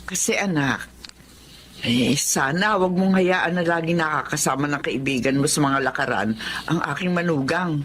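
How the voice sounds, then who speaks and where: A woman's voice: low-pitched, moderate pace, natural voice. A woman playing a cautious, worried older mother in a Filipino drama, admonishing as she talks. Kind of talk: Filipino radio-style drama acting. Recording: faint background noise.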